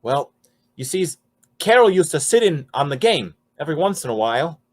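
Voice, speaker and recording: A man's voice: fairly high pitch, very animated, fairly quick. A man playing a nervous, cringing underworld informant in 1940s radio style, stammering and whining in slang. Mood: reluctant, confiding.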